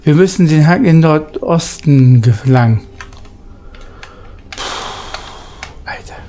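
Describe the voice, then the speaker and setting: Man, game playthrough commentary, slow and halting, swinging intonation, muttered asides. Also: on the high side, lively intonation, warm tone. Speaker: gamer playing and commenting. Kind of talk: playthrough commentary, partly in character.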